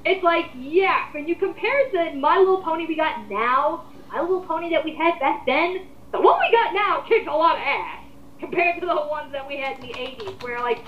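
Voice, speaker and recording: A woman's voice: high voice, lively intonation, moderate pace, roomy sound, muffled recording, noisy background. A woman, giving blunt, rambling, emphatic opinions in a casual group discussion that she leads. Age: 20s. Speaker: host leading a group discussion. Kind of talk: casual opinionated commentary chat.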